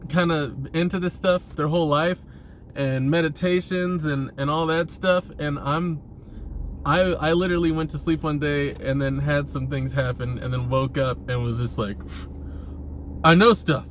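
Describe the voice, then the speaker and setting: Casual, sweary man over clear background noise. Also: on the high side, steady tone, normal speed. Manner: informal, profane, frequently chuckling. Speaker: vlogger talking about himself. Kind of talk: casual rambling confessional monologue. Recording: clear vehicle-like ambient noise.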